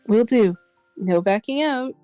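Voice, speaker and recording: Poised, persuasive wife, voiced by a man, falsetto, mellow, dry mic. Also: lively intonation, unhurried, smooth, no room sound, dull audio, soft background music. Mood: coaxing. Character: poised, elegant wife coaxing her husband. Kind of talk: fan livedub of a visual novel.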